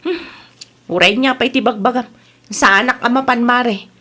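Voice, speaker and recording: A woman's voice: on the high side, moderate pace, bright, forceful tone. A woman playing a grudging, envious village housewife and mother in an Ilocano radio comedy drama, grumbling and reluctant, with a Filipino Ilocano accent. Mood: reluctant, sulky.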